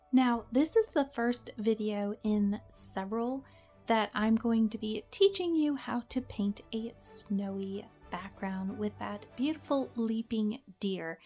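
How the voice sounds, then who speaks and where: A young woman with a slightly nasal, stuffy voice from a cold, giving a friendly, chatty watercolor tutorial as a voice-over. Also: on the high side. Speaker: watercolor art teacher. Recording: voice-over with soft background music.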